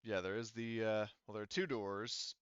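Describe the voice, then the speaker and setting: A man casually narrating a tabletop game as game master, improvising in a halting, wordy way. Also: on the low side, steady tone, a bit bright, smooth, no echo, muffled recording.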